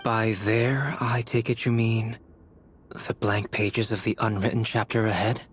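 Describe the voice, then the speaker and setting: A man voicing a calm, solemn male creator in a video game who speaks in a measured, formal way and urges his creation on. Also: fairly low pitch, slight background noise, lightly acted. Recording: echoey reverb with game music.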